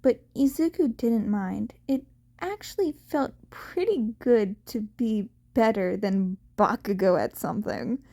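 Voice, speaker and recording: A woman reading scripted story narration as an audiobook narrator, slightly halting in her delivery. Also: medium pitch, animated, mellow.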